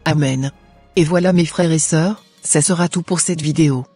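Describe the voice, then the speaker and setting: Earnest churchgoing sister in a cartoon played by a woman, husky, quiet music. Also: low-pitched, normal speed. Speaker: channel co-host. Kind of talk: scripted cartoon voice-over.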